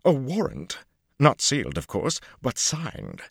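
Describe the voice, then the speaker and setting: A man voicing an excitable, fawning male showman who gushes and scrapes before nobility. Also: medium pitch, slow, creaky, husky, no echo, studio quality, voice pushed up, full character voice. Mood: gushing. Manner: gushing, bowing and scraping, breathless excitement.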